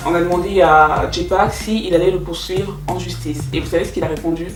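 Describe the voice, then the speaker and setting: A young woman telling stories in a casual, animated, teasing and slangy way, like an online host. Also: fairly low pitch, fairly quick. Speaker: YouTube host telling K-pop gossip. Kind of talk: casual chatty storytelling with commentary. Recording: roomy echo, distant mic, music behind.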